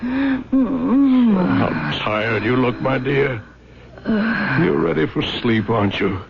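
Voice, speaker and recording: An actor playing a devoted, grieving husband in an emotional, imploring radio drama performance. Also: male voice, on the high side, very animated, very slow, creaky, a crowd in the background, exaggerated voice. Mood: tender anguish. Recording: dull, noisy old radio broadcast.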